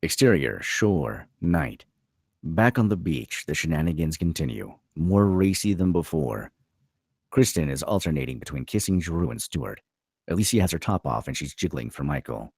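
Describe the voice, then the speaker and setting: Very deep, gravelly male narrator. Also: sing-song, normal speed, a little mellow, dry recording, studio quality. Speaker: narrator reading stage directions. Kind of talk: scripted narration at a table read.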